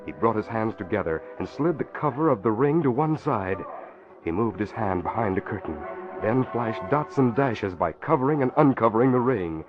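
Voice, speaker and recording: A male announcer narrates in vintage 1940s radio fashion. His delivery is dramatic and emphatic, swinging widely in pitch, with the muffled tone of an old broadcast. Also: animated, moderate pace, music in the background.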